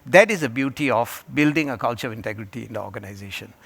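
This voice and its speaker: Man from Malaysia, conference panelist, halting delivery, frequent fillers, mid-pitched voice. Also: lively intonation, vocal fry, fairly bright tone. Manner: halting, with many fillers and restarts. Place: conference hall.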